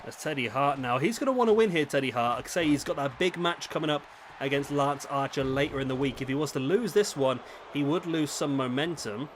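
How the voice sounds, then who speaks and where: A man with a slightly rough, raspy throat, casually commentating a wrestling video game as the channel host, thinking aloud and correcting himself. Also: on the high side, fairly quick, background noise. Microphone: headset microphone.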